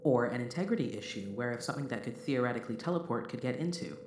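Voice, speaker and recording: A man playing an earnest, cautious, mild-mannered spaceship crewman in a sci-fi audio drama, speaking in a measured, formal way. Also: medium pitch, expressive, lightly acted. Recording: noisy, echoey room.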